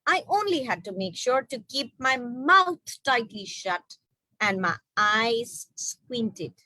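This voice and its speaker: A woman tells a children's story expressively. Her voice is fairly high and her pace is slow, recorded dry with little room sound. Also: dry recording, poor audio. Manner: expressive, asks rhetorical questions. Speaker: storyteller at an online children's book reading.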